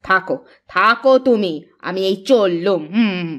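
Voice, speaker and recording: A woman's voice: medium pitch, a little slow, a bit bright, dull audio, lightly acted. A woman playing a jealous, stingy young village wife in a fairy-tale cartoon, indignant, sarcastic and sulky. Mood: sulky scorn.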